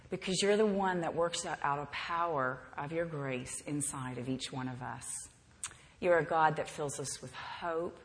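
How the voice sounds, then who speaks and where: A woman from the Bahamas teaching a women's Bible study in a chatty, lively way. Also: low-pitched, big-room sound. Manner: warm, chatty, laughs easily.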